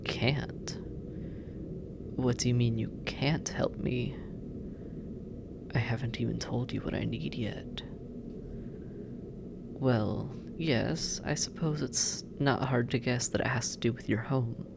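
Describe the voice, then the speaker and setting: A performer in a roleplay audio drama voicing a sardonic, teasing demon captor who drawls and murmurs with heavy pauses. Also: mid-range voice, steady tone, gravelly, in a whisper. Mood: puzzled, intent. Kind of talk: scripted roleplay audio drama, intimate second-person address.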